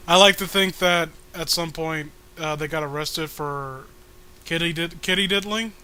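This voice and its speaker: Male co-host, higher-pitched, crisp and forceful, crude comedic banter, profane, rambling. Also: normal speed. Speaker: co-host of a comic read-along.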